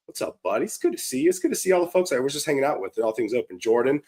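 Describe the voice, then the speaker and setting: A hoarse man, talking upbeat and chatty on his live stream, full of ums and restarts. He sounds like he's on a desk mic over a video call. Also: medium pitch, big pitch swings, very fast, darkish tone, a little hiss or hum. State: hoarse from a cold. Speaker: livestream show host. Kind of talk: casual live-stream chat.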